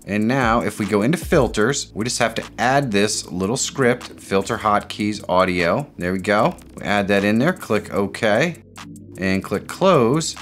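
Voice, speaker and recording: Lower-pitched man teaching software. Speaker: tutorial host. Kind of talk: step-by-step software tutorial. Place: small home studio room.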